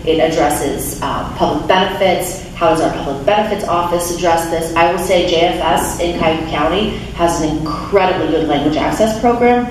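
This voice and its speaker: A woman's voice: lowish voice, normal speed, hardly any pauses, darkish tone. A woman in her thirties giving a casual talk at a lectern, with frequent restarts and fillers. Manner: chatty, many restarts and fillers. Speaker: guest speaker from a refugee resettlement nonprofit. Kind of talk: informal talk with audience questions. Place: small church chapel, speaking at a lectern. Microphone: handheld mic, recorded by a far camera. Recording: echoey chapel, distant camera, room noise.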